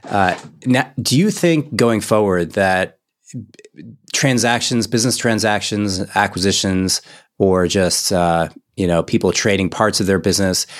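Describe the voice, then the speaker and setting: Guy interviewing, lowish voice, long wandering questions. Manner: rambling, searching for words.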